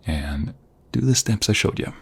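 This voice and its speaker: A man playing a protective, flirtatious mobster husband in an ASMR roleplay, gravelly and husky, coaxing his partner with affection. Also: fairly low pitch, lively intonation, moderate pace, heavily acted. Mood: patient, instructing.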